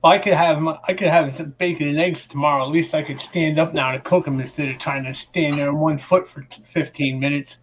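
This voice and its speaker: A man's voice: on the high side, steady tone, a little fast, hardly any pauses, rough recording. A man joking in a laid-back way during a live chat. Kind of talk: casual chat.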